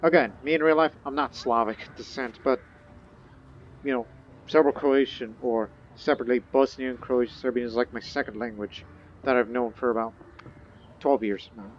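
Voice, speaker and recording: A man's voice: medium pitch, steady tone, normal speed, lo-fi sound, a little hiss or hum. A man thinking aloud and reading game text in casual, rambling gameplay commentary. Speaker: gaming video host.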